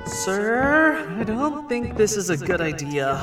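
An actor playing a timid, nervous, deferential sidekick in a comic superhero audio drama. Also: male voice, high-pitched, sing-song, takes it slowly, heavily acted. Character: timid, easily startled male superhero's sidekick. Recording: echoey, distant, music behind.

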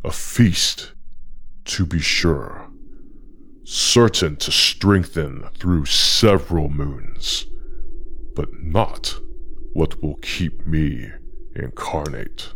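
A man's voice: extremely low pitch, very slow, pauses often, a bit bright, full character voice. A man voicing an ancient, menacing spirit in an audio drama, speaking in an ominous, archaic, poetic manner. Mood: slow menace. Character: ancient predatory spirit, slow, menacing, hungry for fear. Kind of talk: scripted audio drama monologue.

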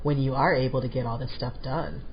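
A woman's voice: extremely low pitch, fairly even intonation, fast, a crowd in the background. A middle-aged woman hosting a podcast, talking casually while fighting a head cold. She chats freely and often repeats words as she goes. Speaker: podcast host. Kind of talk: casual conversational chat. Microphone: call over phone or Skype. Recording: dull slightly lo-fi audio with faint noise.

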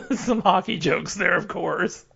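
A slightly high-voiced man with a gravelly fry chats loosely as a podcast host. He laughs between thoughts and leans on fillers. The audio sounds muffled and lo-fi. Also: slow pace, a bit bright. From Minnesota. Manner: chuckling, filler-heavy storytelling. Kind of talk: casual recap chat. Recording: dull lo-fi audio.

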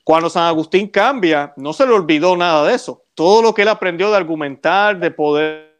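A male host lays out an argument in a persuasive, explanatory way, tossing in quick tag questions to his guest. His voice is somewhat high for a man. It sounds like a friendly sit-down conversation. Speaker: interview host. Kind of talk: conversational interview, faith talk.

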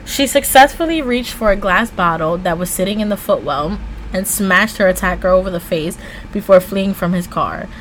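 A woman hosting a true crime podcast, switching between scripted storytelling and slangy, informal, animated asides. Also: medium pitch, normal speed, a little hiss or hum, poor audio.

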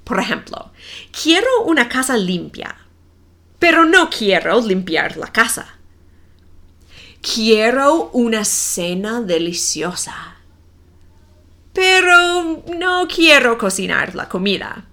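A woman in her forties with a non-native accent, reading a simple story slowly and carefully for language learners. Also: fairly high pitch, sing-song, bright, forceful tone. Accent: non-native Spanish accent. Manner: careful, deliberate reading with clear pauses. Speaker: Spanish teacher.

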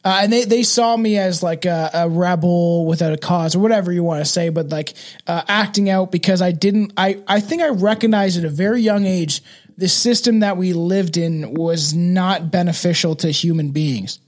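A man's voice: high-pitched, normal speed. A man in his thirties chatting casually as a podcast host, rambling and slangy with frequent swearing. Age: 30s.

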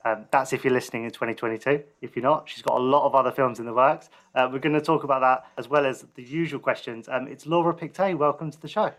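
A man hosts a film podcast in a casual, conversational way. His voice sits at a middling pitch as he interviews his guest. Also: fairly even intonation, fast, hardly any pauses, fairly bright tone.

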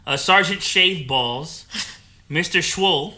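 A man's voice: fairly high pitch, takes it slowly, pushed and bright. A man hosting a casual podcast, joking around animatedly and laughing often. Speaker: podcast host.

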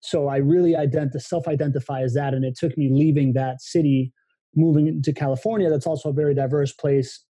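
A man's voice: on the high side, fairly even intonation, brisk, warm tone, husky, no room sound. A man in his thirties, born in Venezuela and raised in Miami, speaking as a podcast guest in a reflective, open way with long run-on sentences. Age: 30s. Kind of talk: casual conversation.

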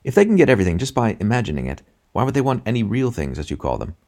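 Storybook everyman played by male narrator: curious, puzzled, pressing with questions, pitched up. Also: very animated, racing, mellow. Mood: puzzled, insistent.

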